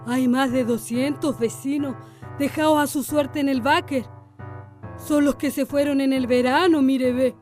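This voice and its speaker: A gossipy Chilean village wife in a radio play, with a high voice. She talks in a homely, colloquial way as she spreads bad news, with music underneath. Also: steady tone, unhurried, a little mellow. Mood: worried gossiping. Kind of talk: radio drama acting.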